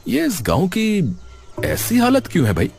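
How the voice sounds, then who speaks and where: A man playing a polite, inquisitive young student in an animated story. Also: high voice, unhurried, creaky, heavily acted. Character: curious young male student researching a village story.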